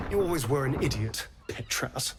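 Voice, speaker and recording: An actor playing a proud, vengeful vampire rogue in a video game, with an arch, sneering, dramatic delivery. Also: male voice, medium pitch, expressive, measured pace, heavy background noise. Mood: mocking.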